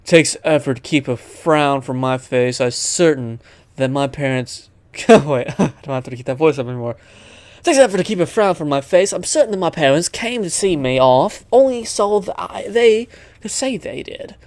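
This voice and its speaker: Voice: mid-range voice, expressive, normal speed, a little mellow, dry recording. A gamer narrating a visual novel aloud, stumbling over the text and breaking into rambling asides. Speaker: let's player reading a visual novel aloud. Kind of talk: gaming commentary and read-aloud narration.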